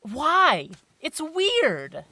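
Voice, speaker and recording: A woman's voice: sits high, wildly swooping pitch, very slow, sharp tone, dry recording, exaggerated voice. A woman voicing an irritable younger elf brother in a fantasy audio drama, blunt and defensive.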